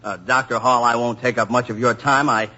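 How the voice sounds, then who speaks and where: Brief: a fast-talking wisecracking reporter, bright and husky, dull vintage radio sound. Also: male voice, mid-range voice, fairly even intonation. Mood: brash. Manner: brash, wisecracking, slangy. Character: brash young male newspaper reporter. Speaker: actor in a radio drama. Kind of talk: 1940s radio acting. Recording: muffled old radio broadcast.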